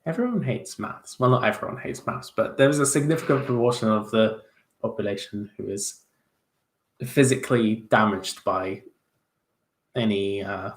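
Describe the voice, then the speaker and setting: A man's voice: on the low side, normal speed. A young man chatting casually as he answers viewer questions on a livestream, self-deprecating, with many fillers and restarts. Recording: roomy echo.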